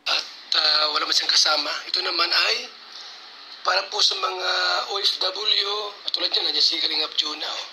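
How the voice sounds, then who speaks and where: A man's voice: fairly high pitch, fairly even intonation, moderate pace, bright, forceful tone, a little hiss or hum, rough recording. A man giving a casual tour of guest rooms, speaking politely to viewers, in a clip with music playing over it. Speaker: charity vlogger showing guest rooms.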